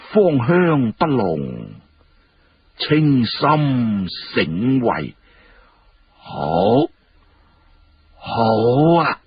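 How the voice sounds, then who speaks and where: Man doing a slow, husky old chancellor. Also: lowish voice, lo-fi sound, full character voice. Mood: savouring. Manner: slow, measured, savouring words. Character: dignified old male chancellor in his seventies, appreciative.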